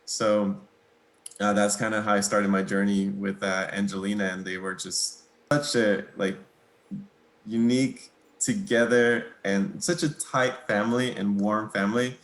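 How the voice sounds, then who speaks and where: A young man from Mexico whose first language is Spanish, answering interview questions in a relaxed, hesitant way with many pauses and small laughs. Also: on the low side, reverberant. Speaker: guitarist, interview guest.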